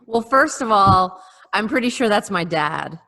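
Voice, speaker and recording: A woman's voice: medium pitch, lively intonation, a little slow, bright, forceful tone. A middle-aged woman in casual, opinionated panel chat over a video call, with a sardonic way of talking. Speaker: podcast panelist.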